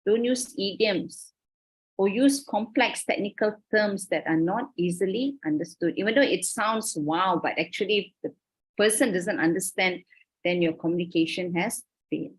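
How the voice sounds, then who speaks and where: Husky Malaysian woman giving an online lecture. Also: mid-range voice, normal speed, low-quality recording. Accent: Malaysian English. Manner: explanatory, checks in often with "okay?" and "all right". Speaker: university lecturer. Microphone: video call.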